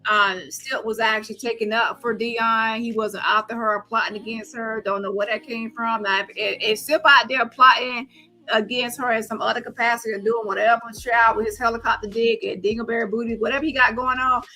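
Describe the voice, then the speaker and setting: A woman's voice: on the high side, fairly even intonation, a little fast, bright, forceful tone, poor audio. A woman hosting a casual live gossip call-in, talking emphatically in blunt, salty slang and repeating phrases for effect. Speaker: host of a live call-in show.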